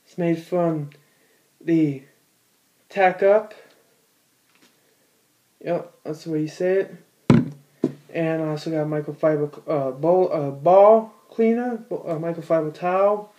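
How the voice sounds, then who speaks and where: A man's voice: fairly high pitch, fairly even intonation, slow pace, pauses often, mellow, muffled recording. A teenage boy in a small room at home, giving a casual how-to in a rambling, plain-spoken way with many fillers and restarts. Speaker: bowler demonstrating ball cleaning.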